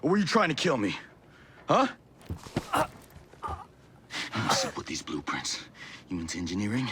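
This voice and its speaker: A furious, swearing soldier. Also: male voice, on the low side, huge pitch swings, normal speed, noisy background. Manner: aggressive, swearing, accusing. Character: furious male soldier interrogating.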